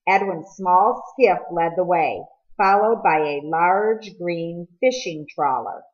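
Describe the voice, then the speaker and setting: A woman's voice: on the low side, takes it slowly, dull audio. A woman reading a storybook aloud as scripted audiobook narration. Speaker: audiobook reader.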